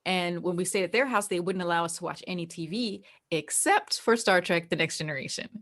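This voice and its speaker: Female American interview guest: lowish pitch, informal storyteller, emphatic and conversational. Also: a little fast, fairly bright tone. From New Jersey, United States. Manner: informal, peppered with "like" and emphatic repeats. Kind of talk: casual chat, personal storytelling.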